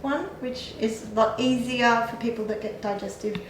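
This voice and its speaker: Woman, slightly high voice, chatty and explanatory, recorded in an echoey room from a distance. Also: fairly even intonation, moderate pace, background noise. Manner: chatty, explanatory, with frequent fillers and light laughs. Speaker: clinic staff giving supplement advice. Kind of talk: casual health chat.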